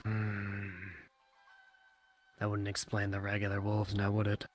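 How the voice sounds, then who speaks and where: A man's voice: lowish voice, monotone, unhurried, soft background music, lightly acted. A man playing an inquisitive, practical townsman in a fantasy roleplay, politely skeptical and full of questions. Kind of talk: in-character virtual reality roleplay.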